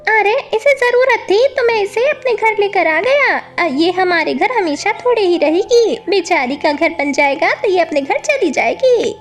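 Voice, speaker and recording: A very high, swooping voice done by a woman for a male parrot in a children's cartoon. He is chatty and full of questions, with a helpful temper. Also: lively intonation, a little slow, hardly any pauses, soft background music. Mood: reassuring. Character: male parrot, suspicious at first but helpful and kindly. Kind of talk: animated children's story dialogue.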